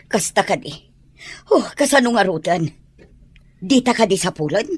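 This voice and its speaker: A woman's voice: mid-range voice, animated, normal speed, a little mellow, slightly rough, dry recording. A woman playing a bossy, scheming mother in an Ilocano audio drama. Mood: scheming, exasperated.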